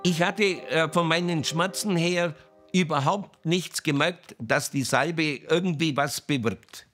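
A man giving a personal account in an interview, halting and rather formally worded. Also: on the high side, fairly bright tone, soft background music.

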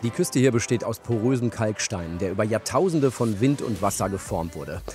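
A man's voice: lowish voice, expressive, moderate pace, a little mellow. A man narrating a travel documentary in scripted reporter style. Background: background music.

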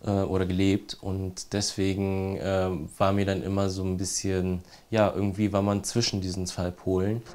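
A man's voice: low-pitched, flat delivery, normal speed, hardly any pauses, darkish tone. A man in his thirties from Germany, a ceramic artist, speaking in a TV interview, hesitant with many fillers and restarts. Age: 30s.

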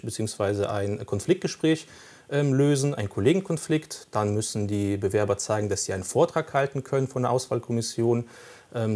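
Hesitant lower-voiced man explaining. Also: a little mellow. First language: Russian. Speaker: police recruitment officer. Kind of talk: studio interview answers.

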